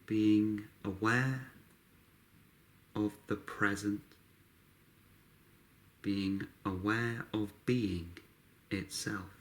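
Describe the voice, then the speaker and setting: A man guiding a meditation, speaking calmly in short, unhurried phrases with long pauses between them. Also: lowish voice. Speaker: meditation guide. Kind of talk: guided meditation narration.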